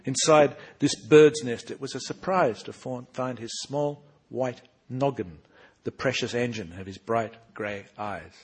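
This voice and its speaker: A cheeky, sharp-witted boy narrator full of bookish pride, as a man reads him aloud. The voice is husky with a breathy grain, and the telling is animated, pushing up into emphatic rises. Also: medium pitch, measured pace, voice pushed up. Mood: spirited. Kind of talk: reading aloud from his own book.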